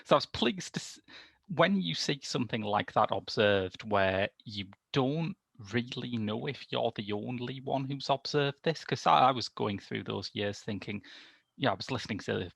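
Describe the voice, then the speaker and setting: A man's voice: medium pitch, animated, normal speed, vocal fry, fairly bright tone, breathy edge, dry recording. A man discussing a film casually as a podcast reviewer, in a hesitant, discursive way.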